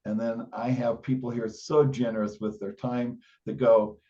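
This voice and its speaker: A man's voice: mid-range voice, level tone, normal speed, mellow. A man talking informally in a meeting, rambling and self-deprecating. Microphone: group teleconference call. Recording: dull lo-fi conference call audio.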